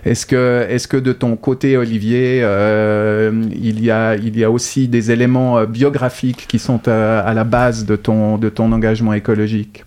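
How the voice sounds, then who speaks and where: Male host, low mellow voice, measured and halting questions. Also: level tone, clear, resonant tone. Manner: measured, searching for words. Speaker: interview host. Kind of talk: radio-style interview questions.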